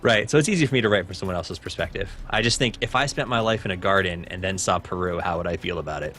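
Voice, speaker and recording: A man's voice: sits low, fast talker, fairly bright tone, faint music behind. A young man from Hawaii, talking casually as an interview guest, easygoing with frequent "uh" and "um". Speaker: interview guest, esports caster.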